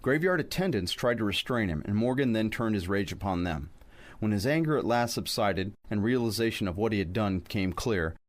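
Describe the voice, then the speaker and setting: A man on a podcast narrating scripted fantasy lore in an articulate, wry storyteller's manner. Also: fairly low pitch. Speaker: podcast host.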